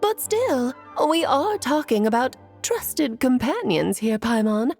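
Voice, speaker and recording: A woman's voice: high-pitched, sing-song, slow, a little mellow, soft background music, heavily acted. A woman playing a playful, scheming, self-assured mage aunt in a video game, airy and teasing in a theatrical way. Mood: coy, coaxing.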